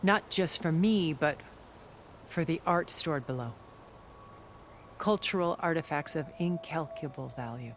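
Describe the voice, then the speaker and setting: A woman playing a poised, cultured, secretive older tech magnate in a video game cutscene, speaking in a measured, eloquent way. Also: low voice, slight background noise. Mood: earnest, proud. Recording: music behind the voice.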